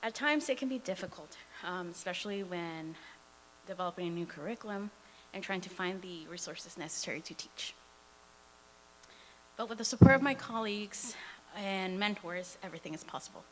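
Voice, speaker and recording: A woman's voice: lowish voice, normal speed, a little hiss or hum, low-quality recording. A woman in her twenties whose first language is Spanish, a teacher reading a prepared public comment with occasional fillers at a school board meeting. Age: 20s. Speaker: dual immersion teacher.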